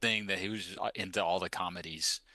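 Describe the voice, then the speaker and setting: A man's voice: low voice, fairly even intonation, a little fast, bright, forceful tone, dry recording, clean studio sound. A middle-aged man, a podcast co-host, chatting casually and rambling through personal reminiscences.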